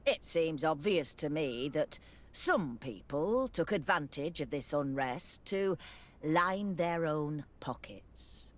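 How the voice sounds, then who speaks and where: A disgruntled lieutenant in a video game. She is outspoken and emphatic, with lively swings in pitch and an indignant manner. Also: slow. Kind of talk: video game cutscene acting.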